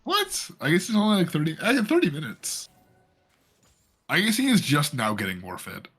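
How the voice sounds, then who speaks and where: Husky joking esports caster dude, voice bounces all over. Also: male voice, a little high, normal speed, soft background music. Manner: joking, excitable, laughs often. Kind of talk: live game commentary.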